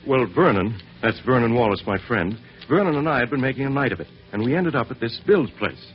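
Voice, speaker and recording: A man's voice: medium pitch, lively intonation, a little fast, soft background music, close to an everyday voice. An actor playing a missing man's anxious friend, giving an earnest account to a detective in an old radio drama. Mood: earnest, uneasy. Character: anxious male friend of a missing man, a client. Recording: muffled, noisy vintage radio broadcast.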